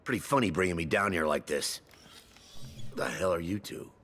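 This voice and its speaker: An actor playing an arrogant, surly crime boss in a video game, curt and defiant. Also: male voice, low-pitched, expressive, brisk, a bit bright, exaggerated voice.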